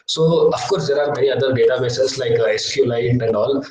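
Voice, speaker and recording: A young man teaching a live online coding lesson, hesitant with many filler sounds, his voice slightly low from a cough. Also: a little fast, darkish tone. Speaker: workshop instructor. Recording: echoey, distant laptop mic.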